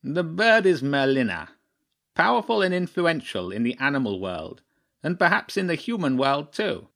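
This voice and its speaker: Man voicing a pompous old crow chairman, swooping pitch. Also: big pitch swings, moderate pace, vocal fry, a bit bright, heavily acted. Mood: shrewd, measured. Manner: pompous, clipped, formal pronouncements. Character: old male crow chairman, stern, haughty and shrewd.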